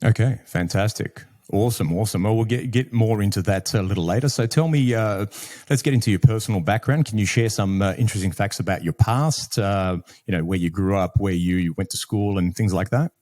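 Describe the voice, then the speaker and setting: Mellow-voiced man hosting a podcast. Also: fairly low pitch, a little fast, no echo. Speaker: podcast host. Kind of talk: podcast hosting, introduction and questions.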